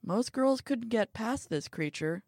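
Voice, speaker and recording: A woman's voice: on the high side, lively intonation, a little slow, darkish tone, husky, no echo, clean studio sound, voice pushed up, heavily acted. A woman voicing a nervous, deferential henchman in an audiobook, with a formal, placating manner. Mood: cautious.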